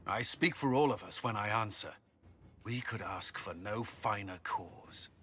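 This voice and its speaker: A man's voice: on the low side, measured pace, a bit bright, breathy edge. A man playing an earnest, duty-bound army commander in a video game, speaking firmly and formally. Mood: solemn. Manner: firm, formal. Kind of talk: dramatic video game acting.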